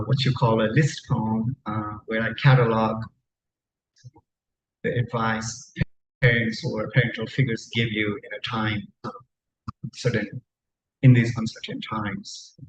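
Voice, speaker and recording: A man from Nepal whose native language is Tamang, a poet giving a live reading with a deliberate, measured manner and frequent pauses. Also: fairly low pitch. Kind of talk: live poetry reading with spoken introductions. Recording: dull, echoey room recording, faint noise.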